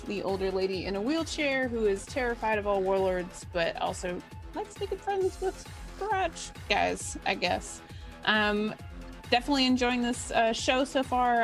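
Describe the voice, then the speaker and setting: A woman player giving a chatty, scattered shout-out over an online call. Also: fairly high pitch. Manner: chatty, scattered, self-correcting. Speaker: player and streamer. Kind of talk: casual stream shout-out.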